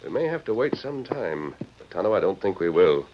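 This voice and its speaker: An American actor playing a steadfast Western hero, measured, assured and earnest, in an old-time radio drama. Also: male voice, low-pitched, very animated. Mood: resolute. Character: steadfast, resolute masked cowboy hero. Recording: muffled, faintly noisy old radio recording.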